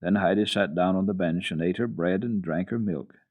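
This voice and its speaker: A man reading a children's story for radio in an expressive, deliberate, theatrical way. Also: deep voice, phone-quality sound.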